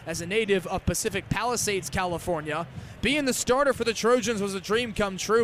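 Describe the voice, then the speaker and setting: A young man calling American football live on the radio as a play-by-play announcer, with crisp, detailed delivery. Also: high voice, fairly bright tone. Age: young adult. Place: stadium game broadcast. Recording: live outdoor broadcast with background noise.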